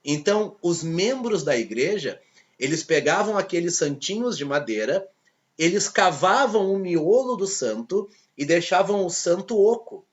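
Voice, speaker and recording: A man with a Brazilian accent teaching a history lesson, telling it as a lively story and acting out the voices of people in it. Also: sits high, highs cut off. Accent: Brazilian Portuguese. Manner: lively storytelling, acts out quoted characters. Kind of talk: video lesson.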